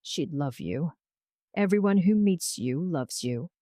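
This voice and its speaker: A woman voicing a gentle, devoted man in love in an audio romance story, tender and sincere. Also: lowish voice, lively intonation, slow, no room sound, clean studio sound. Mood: reassuring.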